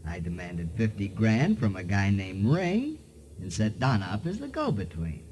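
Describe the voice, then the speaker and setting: Bitter, wildly animated American schemer. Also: male voice, on the low side, normal speed. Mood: bitter, confessing. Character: desperate male murderer confessing, bitter and scheming. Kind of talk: 1950s noir radio acting. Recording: noisy vintage radio recording.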